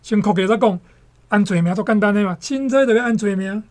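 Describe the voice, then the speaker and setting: A woman performs as a radio drama storyteller with a Taiwanese accent. The voice is mid-pitched, and the delivery is dramatic and narrative. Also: quick pace, darkish tone, natural voice.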